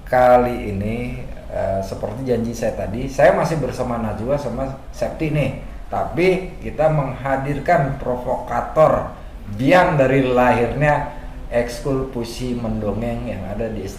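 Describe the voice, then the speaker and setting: A man hosts radio in a chatty, informal manner. His medium-pitched voice rings in an echoey room, with faint noise behind it. The delivery is colloquial, moving between station announcements and interview questions. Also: darkish tone. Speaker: radio host.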